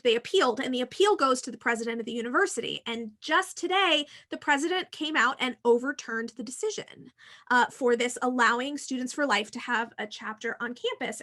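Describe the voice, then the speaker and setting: High, bright-voiced American woman, emphatic. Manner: emphatic, frank, discursive explaining. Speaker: podcast host and university teacher. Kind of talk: casual opinionated conversation.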